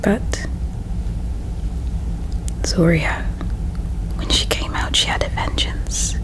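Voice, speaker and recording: A woman performing a whispered ASMR roleplay as a regretful, solemn alien princess, with a breathy, hushed, halting delivery. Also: low-quality recording. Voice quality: breathy whisper with soft creak. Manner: slow, halting, hushed storytelling.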